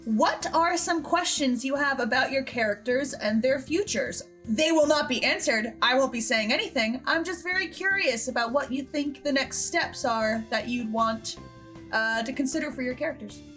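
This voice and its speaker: A young woman hosting a casual group chat in an animated, jokey way, often acting out what people said. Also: sits high, moderate pace. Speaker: host and writer of a fan audio drama. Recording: background music under the talk.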